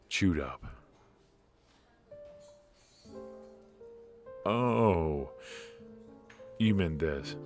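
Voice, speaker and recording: A man voicing a charismatic ex-mafia rogue in an ASMR roleplay, his voice extremely deep and his delivery slow and unhurried. He teases and flirts playfully, but he is stiff and worn from his injuries. Faint music plays behind him. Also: full character voice. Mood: teasing.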